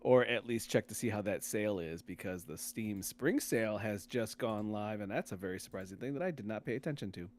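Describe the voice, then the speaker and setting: A man's voice: on the low side, a little fast, few pauses, a little hiss or hum. Male podcast host, informal and opinionated, casual gamer talk with plain-spoken slang. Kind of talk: casual chat about games.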